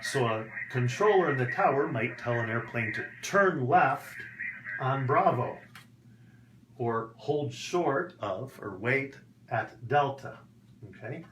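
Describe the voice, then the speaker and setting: Male instructor, unhurried delivery with careful enunciation, explanatory lesson style, roomy recording with noticeable background noise. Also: medium pitch, expressive, a bit bright. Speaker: English teacher. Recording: echoey room with background noise.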